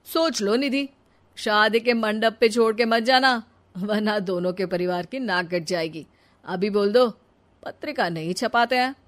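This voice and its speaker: A woman's voice: mid-range voice, a little slow, close to an everyday voice. Woman acting a practical young village husband. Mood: persuasive. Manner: reasoning, persuasive. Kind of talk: cartoon story acting.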